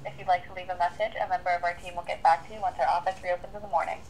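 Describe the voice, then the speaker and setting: A woman's voice: lowish voice, flat delivery, racing, a little mellow, a little hiss or hum, rough recording. A woman reading a scripted office voicemail greeting, heard played over a phone.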